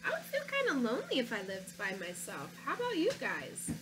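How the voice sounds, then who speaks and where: A woman teacher reading a storybook aloud to young children, gently asking them questions between pages. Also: sits high, huge pitch swings, fairly quick, background noise. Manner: gentle, asks children questions, sings a short song.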